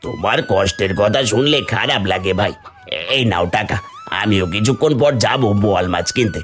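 A man's voice: on the low side, lively intonation, a little fast, fairly bright tone, faint music behind. A man playing a kindly, sympathetic village neighbour in a folk-tale cartoon, speaking conversationally. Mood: friendly.

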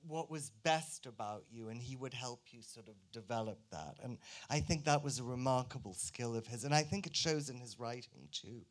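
A man's voice: medium pitch, normal speed, hardly any pauses. A man in his sixties reminiscing reflectively as a panelist on stage, using a handheld microphone. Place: museum auditorium stage.

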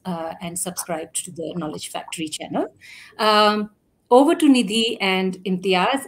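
Woman moderator with frequent ums. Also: medium pitch. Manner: many fillers, uh and um, between phrases. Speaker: event moderator introducing the session. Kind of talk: reading a formal introduction. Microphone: laptop mic on a video call.

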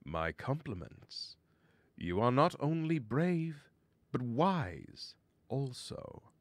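A man's voice: medium pitch, very animated, drawn-out words, dry recording, voice pushed up, exaggerated voice. A man voicing a villainous pirate stoat captain in a storybook, theatrical, mocking and commanding. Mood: smug, commanding. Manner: theatrical, taunting.

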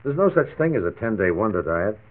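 An actor playing a frank, reassuring family doctor who explains firmly, in 1940s radio style. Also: male voice, on the low side, very animated, quick pace. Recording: tinny 1940s radio transcription disc.

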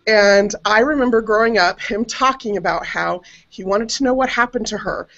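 A woman's voice: medium pitch, normal speed, fairly bright tone. A middle-aged American woman telling a personal family story casually as a panelist on a web video call. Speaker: panelist on a genealogy web show. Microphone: webcam video call. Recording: dull, compressed internet call audio.

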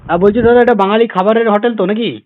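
A high-voiced man with a West Bengal Bengali accent rattles off a prank phone call. He is mock-polite, insistent and repetitive. The sound is muffled and lo-fi. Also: rapid-fire, dry recording. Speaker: prank caller. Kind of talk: prank phone call, comic improvised chat.

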